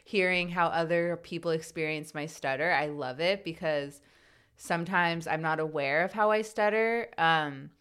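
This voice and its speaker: A woman who stutters, interviewing a guest in an earnest, reflective way, with repeated sounds and pauses. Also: deep voice, a bit bright, clean tone. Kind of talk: conversational interview.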